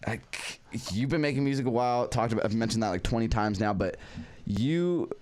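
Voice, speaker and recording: A man's voice: medium pitch, a little fast, darkish tone, a little hiss or hum. A young man hosting a casual interview, rambling and self-deprecating, swearing freely and laughing often. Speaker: interview host.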